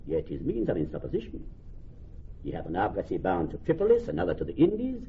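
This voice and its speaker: A man playing a shrewd, resentful Venetian moneylender in classical Shakespearean radio drama, deliberate and theatrical, musing over repeated phrases. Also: low voice, wildly swooping pitch, vocal fry, heavily acted. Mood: animated, calculating. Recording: dull, lightly noisy old radio recording.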